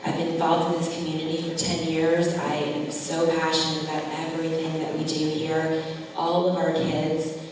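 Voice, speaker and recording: A woman's voice: low voice, completely flat delivery, moderate pace. A middle-aged woman making informal, off-the-cuff closing remarks as a school board candidate at a public forum. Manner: informal, loosely structured speaking. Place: large echoey room at a public forum. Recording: echoey hall from far off, clear noise.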